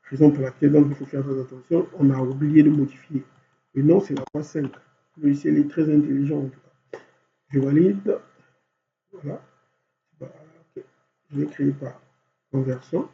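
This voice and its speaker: A man with a head cold walks through a software tutorial, talking himself through each click. His fairly high voice has a gravelly edge. The recording is dull and lo-fi, with a faint hum underneath. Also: steady tone, moderate pace, pauses often. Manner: thinks aloud step by step, constant "voilà". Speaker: software instructor.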